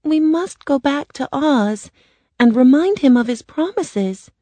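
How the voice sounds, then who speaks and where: A woman's voice: high-pitched, animated, slow pace, mellow, no echo, voice pushed up. A woman voicing an earnest, determined young girl in a storybook.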